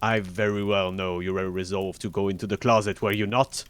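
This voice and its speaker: A man's voice: deep voice, steady tone, smooth, natural voice. A man voicing a stern, murderous husband from a fairy tale, in a commanding, emphatic way. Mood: accusing, menacing. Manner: commanding, drawn-out emphatic shouts. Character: menacing murderous husband, stern, bellowing tyrant. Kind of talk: dramatic fairy-tale storybook reading.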